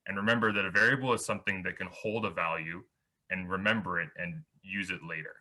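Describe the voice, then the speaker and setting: A man's voice: sits low, steady tone, fast talker, sharp tone. A young man giving a beginner programming workshop over slides, explaining step by step with small restarts. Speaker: workshop instructor.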